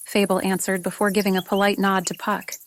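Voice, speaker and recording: A woman's voice: medium pitch, level tone, brisk. A woman narrating a fantasy story from a script, as an audiobook reader. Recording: noticeable background noise with clicks, insect-like sounds.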